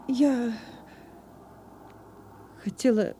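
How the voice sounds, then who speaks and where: A woman's voice: on the high side, steady tone, very slow, mellow, a little hiss or hum. An actress playing a tender, anxious woman in love, emotional and pleading, in a radio war drama. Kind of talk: Soviet radio drama acting.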